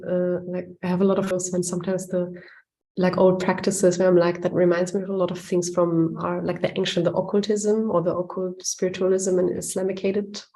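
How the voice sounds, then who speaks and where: A woman from Lebanon and Germany, chatting softly and thoughtfully as a guest. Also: on the low side, flat delivery, fairly quick. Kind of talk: casual reflective chat.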